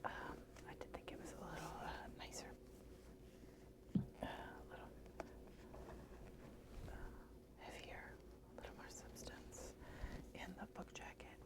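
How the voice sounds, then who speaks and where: A woman whispering a soft ASMR book review, slightly hoarse and hesitant, with many fillers. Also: a little slow, long pauses.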